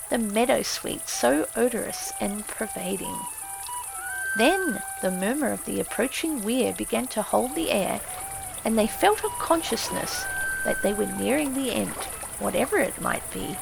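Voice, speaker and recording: A woman narrating a literary audiobook in a solemn, expressive, dramatic storytelling manner. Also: fairly high pitch, gravelly, background noise. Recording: prominent music under the voice. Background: music and effects.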